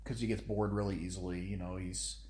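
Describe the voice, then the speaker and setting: A man's voice: low voice, level tone, brisk, a little mellow. A man in his thirties co-hosting a casual parenting podcast, with a commanding voice that carries. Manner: commanding, carrying voice. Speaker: podcast co-host, father. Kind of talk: casual chat. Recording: echoey room.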